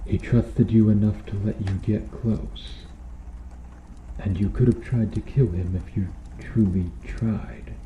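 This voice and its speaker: A man playing a stern medieval knight in an ASMR roleplay, questioning formally and earnestly with dramatic pauses. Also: on the low side, fairly even intonation, reverberant, dull audio, a little hiss or hum. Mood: solemn, insistent. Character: stern medieval knight interrogating an accused noble witch.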